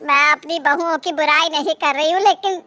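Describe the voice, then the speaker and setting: A woman's voice: high-pitched, steady tone, moderate pace, pushed and bright. A woman voicing a plaintive, martyred elderly mother-in-law who complains in a cartoon moral story. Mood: self-pitying.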